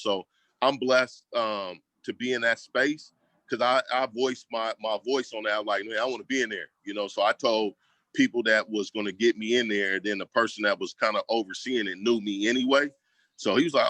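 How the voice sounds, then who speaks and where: Casting: American man, community advocate, bright punchy voice, dry close sound, casual but emphatic interview talk. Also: on the low side, dry recording. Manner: emphatic, colloquial, repeats phrases for emphasis. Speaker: school district equity staff member and community advocate.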